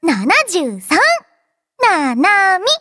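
A woman's voice: very high voice, big pitch swings, a little slow, bright, forceful tone, dry recording, studio quality, soft background music, heavily acted. A woman voicing a cheerful, childlike android girl in an anime game, playful and boastful in manner. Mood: teasing. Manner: playful, childlike, refers to herself by name. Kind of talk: anime game character voice lines. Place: dry studio.

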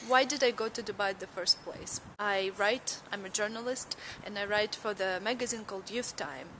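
A young woman from Russia, a journalist, talking to a phone at arm's length in a casual travel vlog, bubbly and quick to laugh. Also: mid-range voice, pushed and bright, slight background noise, poor audio. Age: young adult. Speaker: vlogger and journalist. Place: outdoors at a city forum venue. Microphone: phone held at arm's length.

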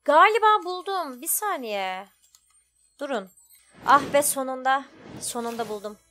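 A woman's voice: high-pitched, lively intonation, a little slow, sharp tone, faint music behind. A woman giving casual, chatty let's play commentary, thinking aloud as she goes. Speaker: gaming video host.

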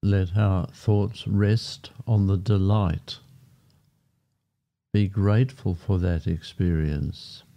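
Slow, deep, very mellow male meditation guide. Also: vocal fry. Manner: calm, unhurried, with short phrases and long pauses. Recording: muffled, dull lo-fi sound. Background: background music.

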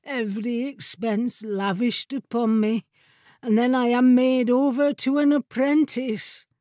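Woman voicing a mournful, slow-talking grand old armchair. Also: fairly high pitch, a little mellow, breathy edge, no room sound, studio quality, heavily acted. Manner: lugubrious, slow, drawn-out complaint. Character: mournful, self-important talking armchair, sleepy and aggrieved.

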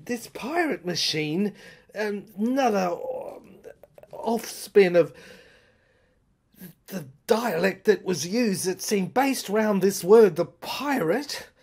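A woman performing a dramatic first-person monologue in halting short phrases with pauses, breathy and husky, sometimes dropping towards a whisper. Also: fairly high pitch, a little mellow.

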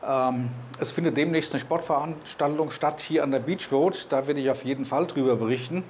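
A man's voice: a little high, a little fast, darkish tone, a little hiss or hum. A man, presenting and narrating a vlog. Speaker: vlogger.

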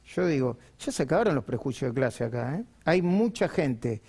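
A man's voice: medium pitch, very animated, moderate pace, gravelly, a little mellow, husky. A man in his forties with an Argentine accent, hosting a live TV panel and musing aloud, often trailing off mid-thought. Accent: Argentine Spanish. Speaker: TV news host. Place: TV studio. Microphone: headset mic.

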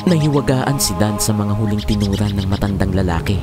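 A man's voice: on the low side, a little fast. A man narrating a scripted horror story in a dramatic style. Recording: loud music bed behind voice.

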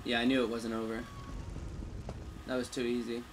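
Male gamer, medium pitch, informal walkthrough commentary over audible game sound. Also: completely flat delivery, moderate pace, background noise. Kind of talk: casual gameplay commentary. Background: fantasy game combat sounds.

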